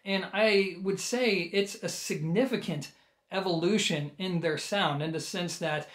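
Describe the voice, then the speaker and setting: Higher-pitched American man, casual reviewer. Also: measured pace, fairly bright tone. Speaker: audio gear reviewer. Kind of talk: casual video review to camera.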